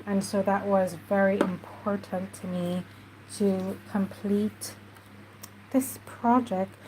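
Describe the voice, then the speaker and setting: Slow-talking woman, stuffy. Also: mid-range voice, steady tone, background noise. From Jamaica. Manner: chatty, unhurried, talks while working. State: stuffy nose. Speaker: craft journaling host. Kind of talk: casual craft tutorial chat.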